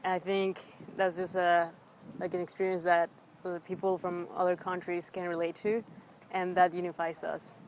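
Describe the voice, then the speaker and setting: Low-voiced young woman from Mexico. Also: flat delivery, normal speed, slight background noise. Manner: chatty, with fillers like um and like. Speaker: anthropology student. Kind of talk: casual self-introduction.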